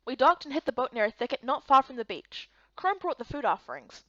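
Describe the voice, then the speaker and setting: A woman narrates a story game in a fairly high, very animated voice. The recording is dry and a little dull. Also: a little fast, no echo. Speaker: voice-over reader of a visual novel game.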